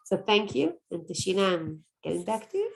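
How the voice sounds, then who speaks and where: A woman, a Hebrew speaker, presents on forming habits in a coaching webinar. She talks earnestly, repeats words and checks in with her listeners as she goes, in a voice of middling pitch. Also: sing-song, brisk, vocal fry, rough recording. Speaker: positive psychology coach.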